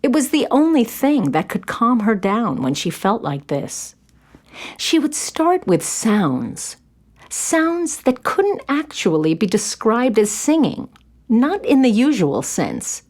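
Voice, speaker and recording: A woman's voice: fairly high pitch, big pitch swings, takes it slowly, darkish tone. A woman narrating a scripted story for children.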